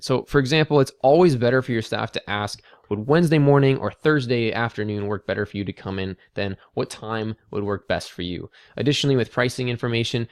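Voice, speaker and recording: An American man speaks as the presenter of an instructional business video. His voice sits a little low, and he explains in a conversational way, talking straight to the listener. The recording is dry, with hardly any room sound. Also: dry recording. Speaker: presenter, dental marketing consultant. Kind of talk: instructional marketing video talk to camera.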